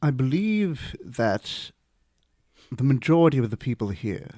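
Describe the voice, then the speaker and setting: An ambitious, socially awkward young male demigod, acted by a man at a gaming table, speaks in a very mellow mid-pitched voice. He sounds earnest and solemn, halting between deliberate phrases, with a dry, close sound. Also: big pitch swings, no echo. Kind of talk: improvised in-character tabletop roleplay.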